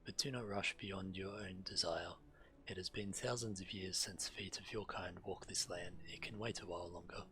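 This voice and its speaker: A man streaming a game, reading quest text aloud in a measured way, now and then stumbling and correcting himself. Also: deep voice, fairly even intonation, pushed and bright. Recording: game music behind the voice. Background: video game music.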